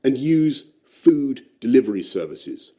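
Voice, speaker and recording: A man voicing a formal, measured government announcement in a radio play. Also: mid-range voice, sing-song, mellow, slight background noise. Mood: stern. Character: stern male government announcer.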